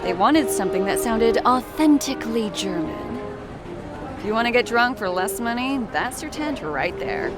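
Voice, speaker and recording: Woman playing a wry, teasing criminology student over loud music. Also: a little high, lively intonation, moderate pace, close to an everyday voice. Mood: wry, informative. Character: bright-minded, teasing female criminal psychology student and history buff. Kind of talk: video game character dialogue.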